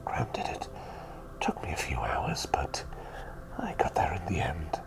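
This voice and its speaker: A male performer plays a gentle plague doctor who dotes on his patient in an ASMR roleplay. He whispers in an extremely deep register and takes his time, leaving soft pauses. The delivery is soothing and caring, with a playful streak. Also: wildly swooping pitch, creaky, exaggerated voice, rough recording. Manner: slow, soothing, softly attentive, with gentle pauses.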